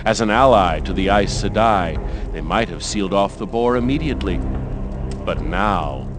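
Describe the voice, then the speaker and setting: An actor playing a scheming, vengeful villain in a fantasy video game, with a theatrical, taunting delivery. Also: male voice, lowish voice, lively intonation, normal speed, background noise, natural voice. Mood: gloating, menacing. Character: scheming, vengeful man, former lackey gloating over his master. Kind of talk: dramatic fantasy game voice acting.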